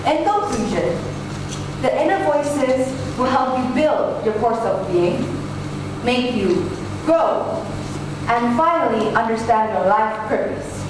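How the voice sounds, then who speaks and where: A woman's voice: a little high, animated, slow pace. A young woman giving a rehearsed motivational speech as a student speaker. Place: large echoey indoor event hall. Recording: very echoey hall, distant, noisy.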